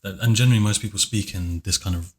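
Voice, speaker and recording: Very deep-voiced soft-spoken man. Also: fast talker. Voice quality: very deep, low voice. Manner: soft-spoken, hesitant, with many fillers and restarts. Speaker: video creator giving commentary. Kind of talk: casual commentary chat.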